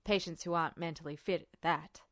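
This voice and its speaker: A woman voicing a guarded, weary male army colonel in an audiobook, speaking in a measured, deliberate way. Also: sits low, fairly even intonation, fairly bright tone, no room sound, studio quality, pitched below the performer's own voice. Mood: measured, cautious.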